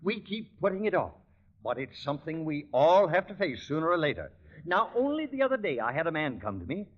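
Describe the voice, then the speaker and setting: A man's voice: on the high side, sing-song, brisk. An actor playing a persistent, evasive small-town insurance salesman with folksy sales patter, in 1940s radio comedy style. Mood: salesmanlike, evasive. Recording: muffled old 1940s radio broadcast.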